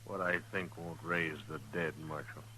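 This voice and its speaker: A stubborn, troubled frontier loner in a radio western. The voice is low and very sharp, with an American accent. He talks in terse, folksy sayings and gives little away. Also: fairly even intonation, normal speed, exaggerated voice, low-quality recording. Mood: guarded, terse. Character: gaunt, guarded, fatalistic frontier station keeper, troubled loner. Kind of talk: old-time radio western drama.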